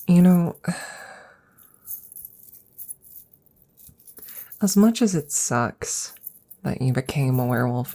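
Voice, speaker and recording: Woman doing a sweet teasy witch for asmr roleplay, slow n soft, mellow, bit of fry, whispery. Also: sits low, full character voice. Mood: warm, reassuring. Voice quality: soft, breathy, husky, often dropping to whispers. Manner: gentle, unhurried, cooing, playfully teasing. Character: affectionate, teasing young witch doting on her werewolf familiar. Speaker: audio roleplay performer.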